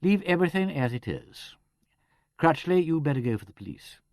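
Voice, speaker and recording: Audiobook aristocrat, male reader: upper-class English, lively intonation, brisk, wry, used to giving orders. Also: a little high, no echo, clean studio sound, voice pushed up. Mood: commanding. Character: commanding, clipped English aristocratic gentleman.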